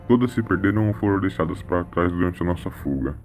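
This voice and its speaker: A man voicing a gruff, proud alien soldier with guttural grunts between phrases, in an audio drama. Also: sits low, lively intonation, quick pace, a little mellow, faint music behind. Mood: deferential.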